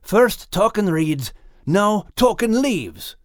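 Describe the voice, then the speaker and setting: A man's voice: high voice, big pitch swings, slow, dry recording, studio quality, voice pushed up, heavily acted. A man voicing a plucky, wary little male dog with a Scottish accent for a storybook, blunt and questioning. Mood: wry.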